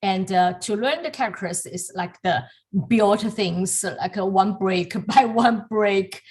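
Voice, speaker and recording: Slow, halting woman teaching on a video call. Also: mid-range voice, darkish tone. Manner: slow, halting, many fillers and restarts. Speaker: calligraphy teacher. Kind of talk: online class teaching with demos.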